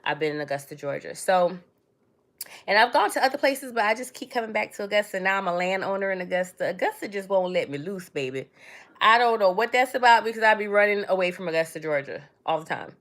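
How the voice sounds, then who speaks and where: A woman's voice: mid-range voice, lively intonation, fast, fairly bright tone. A woman, born in Trinidad and raised in New York City, chatting casually to viewers on her own livestream and calling them darling and baby. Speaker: livestream host.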